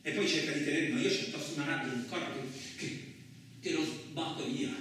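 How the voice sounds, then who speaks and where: A man's voice: a little high, brisk, fairly bright tone, recorded from a distance. A man performing a colloquial first-person dramatic monologue for radio theatre. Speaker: stage actor performing a monologue. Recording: very echoey room, off-mic, faint noise.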